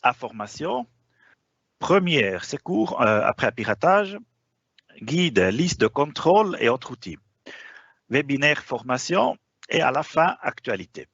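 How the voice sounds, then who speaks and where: A man's voice: medium pitch, sing-song, a little slow, long pauses, a bit bright, slightly rough, no room sound, lo-fi sound. A man whose first language is not French, presenting a formal webinar with frequent hesitations. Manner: hesitant, frequent "euh" fillers.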